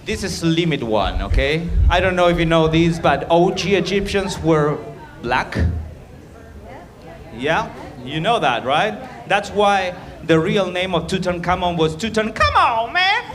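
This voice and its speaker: A man's voice: sits high, sing-song, measured pace. A man with an Argentinian accent doing a crude, rambling, self-mocking stand-up set in a club. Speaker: stand-up comedian. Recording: live club with loud crowd.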